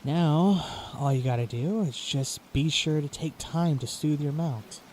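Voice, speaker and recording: A man playing a gentle young knight who talks softly and soothingly in a fan-made audio drama. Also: a little slow, a little hiss or hum, close to an everyday voice. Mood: reassuring. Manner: soft, soothing, low-spoken.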